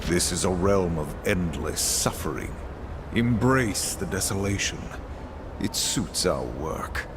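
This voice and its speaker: A man's voice: low voice, lively intonation, slow pace, noisy background. An actor playing a stern, dutiful apocalyptic horseman in a video game, with a solemn, commanding, formal manner. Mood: grave. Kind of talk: video game cutscene acting.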